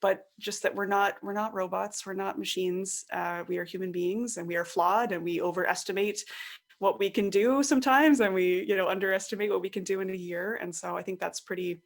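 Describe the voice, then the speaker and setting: A woman's voice: medium pitch, steady tone, a little fast. A woman speaking as a podcast guest in a casual conversation, reflective and candid, often voicing her own thoughts as little quotes. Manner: reflective, candid, illustrates points with quoted self-talk.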